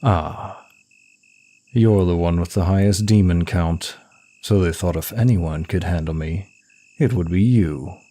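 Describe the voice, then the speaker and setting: A man playing a seductive, arrogant demon prince of lust in an intimate ASMR roleplay, speaking in a smug, suave, deliberate manner. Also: sits low, dark tone. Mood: haughty.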